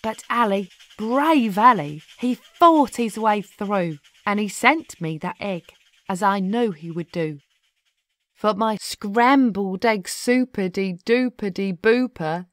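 A woman narrating a rhyming children's story aloud in an expressive, deliberate, rhythmic way. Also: mid-range voice, no room sound. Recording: music behind the voice. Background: music.

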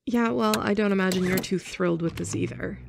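A woman's voice: medium pitch, brisk, gravelly, noisy background. A young woman playing a blunt, sarcastic former bully in an audio roleplay, sighing and scoffing as she talks. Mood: scornful. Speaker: ASMR roleplay performer. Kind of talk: F4M ASMR roleplay.

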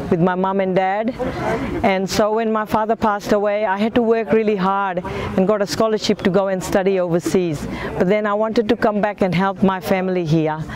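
A woman in her fifties from Fiji, a farm owner speaking earnestly to camera outdoors on a lapel mic. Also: mid-range voice. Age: 50s. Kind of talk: interview to camera.

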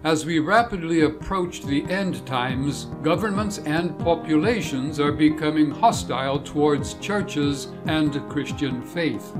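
Man, somewhat high pitch, very slow pace, deliberate scripted narration, measured delivery. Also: music in the background.

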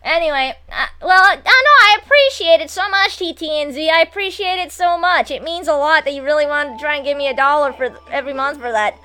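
A woman in her twenties chatting casually as she live streams a game, excitable and foul-mouthed. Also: high voice, fast talker, hardly any pauses. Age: 20s. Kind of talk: casual gameplay commentary and chat with viewers. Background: video game music and sound.

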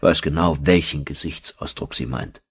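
A man's voice: rumbling low, normal speed, slightly rough. A man narrating a first-person horror audiobook as a storyteller.

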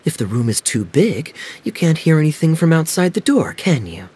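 A man's voice: a little high, big pitch swings, moderate pace, a little mellow, slight background noise. A man playing a patient, playful young man in a video game, explaining things in a teasing way.

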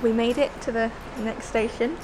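A woman's voice: a little high, steady tone, normal speed, noisy background. A woman in her twenties with an English accent, chatting casually in a vlog on a handheld camera. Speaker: vlogger and dog handler. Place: kitchen, train and station platform. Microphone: handheld camera at arm's length.

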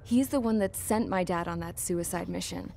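Low-voiced jaded young woman. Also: normal speed, gravelly, slight background noise. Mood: subdued, bitter. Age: young adult. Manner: world-weary, sardonic. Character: jaded young female mechanic imprisoned in a penal unit. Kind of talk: scripted dramatic monologue narration. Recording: dramatic music loud behind.

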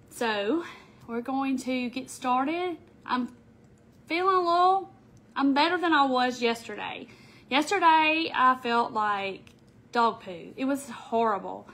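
A woman's voice: sits high, pushed and bright. A middle-aged woman hosting a casual craft livestream from an indoor craft room, sounding nasal from a cold and greeting viewers by name. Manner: chatty, greets viewers by name as she goes. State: stuffy nose from a summer cold. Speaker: craft show host. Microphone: camera on the table in front of her.

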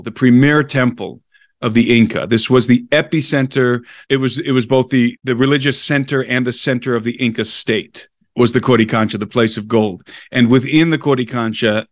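Male podcast guest, medium pitch, conversational and digressive explainer of history, recorded dry. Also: no room sound. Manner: explanatory, digressive, enthusiastic about the subject. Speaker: podcast guest, explorer and researcher.

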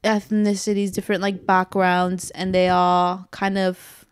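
A young woman co-hosting a podcast from a hotel room, rambling with lots of "like" and trailing off softly, sitting back from a shared mic. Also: mid-range voice, level tone, unhurried, clean tone. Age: young adult. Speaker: podcast co-host. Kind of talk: casual chat. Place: hotel room, seated on bed.

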